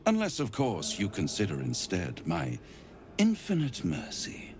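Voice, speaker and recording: Slick pompous devil schemer for a video game, slow savouring drawl, creaky low end. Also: male voice, huge pitch swings, music in the background, heavily acted. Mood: silky, persuasive. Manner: pompous, florid, savouring each phrase. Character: suave, smug male devil, theatrical silver-tongued schemer. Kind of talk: theatrical fantasy game acting, rhyming and grandiose.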